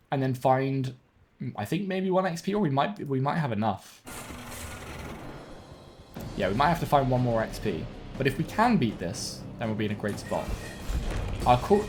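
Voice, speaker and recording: A young man streaming a card game from home, thinking aloud in a relaxed way and correcting himself, sounding a bit sniffly and bunged up. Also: medium pitch. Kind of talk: gameplay commentary. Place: home room with webcam. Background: faint game music.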